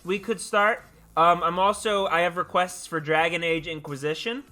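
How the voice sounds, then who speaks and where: High, bright-voiced chatty male streamer. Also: steady tone, moderate pace. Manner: chatty, playful, repeats words and phrases. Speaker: live streamer. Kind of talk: casual chat with live stream viewers. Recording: music playing behind the voice. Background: background music.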